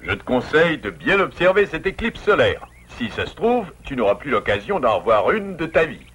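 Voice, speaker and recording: Calm, wise cartoon beaver father. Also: a little high, breathy edge, exaggerated voice. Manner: measured, composed, explanatory. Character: calm, wise adult male beaver father, gentle and learned. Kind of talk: French-dubbed cartoon acting.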